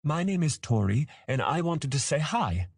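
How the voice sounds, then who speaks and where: A man's voice: fairly high pitch, very animated, normal speed, creaky, slightly rough, dry recording, studio quality, voice pushed up, exaggerated voice. A man voicing a cheerful, naive young boy dragon hatchling in a fantasy audiobook, with a childlike, eager, chirpy manner. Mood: happy, eager.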